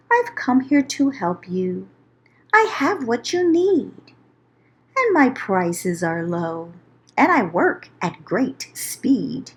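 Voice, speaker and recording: A woman voicing a sly, smooth-talking male swindler from a children's storybook, coaxing customers with a theatrical sales pitch. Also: full character voice. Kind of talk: children's storybook read-aloud.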